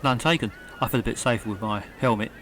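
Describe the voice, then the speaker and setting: A man's voice: mid-range voice, fast talker, a bit bright, a little hiss or hum, lightly acted. A man playing a cocky, overconfident rocket pilot in an amateur audio comedy. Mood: dry.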